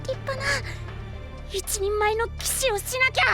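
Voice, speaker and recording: A man's voice: very high-pitched, unhurried, over loud music. An actor playing a timid, earnest young boy knight who stammers and frets in a fantasy audio drama. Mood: scared but determined. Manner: stammering, fretful, polite.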